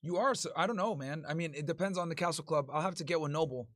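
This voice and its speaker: Casual male streamer, slightly high voice, relaxed and crude, dry close mic. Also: fast talker, dry recording. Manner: laid-back, crude slang, tired, joking. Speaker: livestream host. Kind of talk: casual livestream chat reading super chats.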